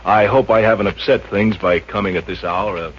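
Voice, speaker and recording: An actor playing a mild, earnest young suitor, polite and hesitant, in a 1950s radio comedy. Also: male voice, on the low side, steady tone, a little fast, natural voice. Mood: bashful, polite. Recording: muffled, noisy vintage radio recording.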